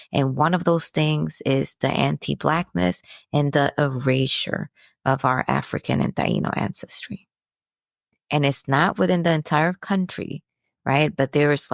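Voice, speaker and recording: A woman from the Dominican Republic hosts her own podcast, sharing a personal reflection with earnest conviction. Her voice is low and gravelly, and she takes her time. The sound is close and dry, with no room around it. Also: no room sound. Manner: earnest, preachy, asks rhetorical questions. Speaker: podcast host.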